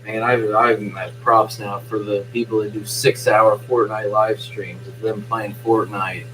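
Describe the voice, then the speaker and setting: A young man losing his voice, talking quietly while streaming a live play-by-play of a game from home at night. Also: on the low side, little pitch movement, measured pace, background noise. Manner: quiet, subdued talk. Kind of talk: casual live-stream sports commentary.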